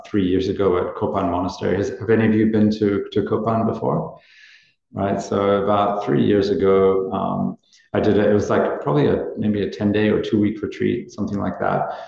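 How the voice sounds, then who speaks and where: Low gravelly guy, flat delivery, lots of ums, teaching a class in a big echoey room, bit muffled. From Australia and Nepal. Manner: hesitant, many ums and restarts. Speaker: meditation course teacher. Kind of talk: introducing a class to a live group.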